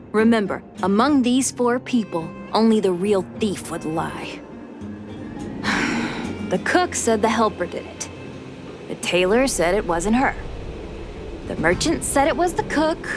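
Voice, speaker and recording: A woman's voice: medium pitch, lively intonation, measured pace, close to an everyday voice. Woman voicing a patient companion over music. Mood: reassuring, methodical. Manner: patient, reassuring, methodical. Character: calm, helpful companion keeping case notes. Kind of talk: video game voice acting.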